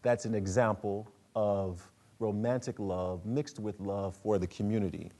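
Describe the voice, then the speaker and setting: Measured man presenting literature. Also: fairly low pitch, steady tone, mellow. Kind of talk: prepared lecture introducing dramatic readings.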